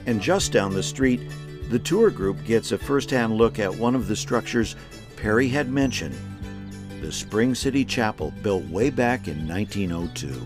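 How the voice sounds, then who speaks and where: Animated male narrator over guitar music. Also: fairly low pitch, moderate pace. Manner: folksy, conversational storytelling. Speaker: narrator and host. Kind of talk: scripted documentary narration.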